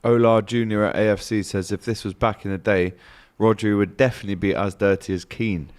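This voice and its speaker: A man with a fairly low voice hosts a live match show. He gives short casual updates and relays viewer comments between bits of debate. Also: fairly even intonation, brisk.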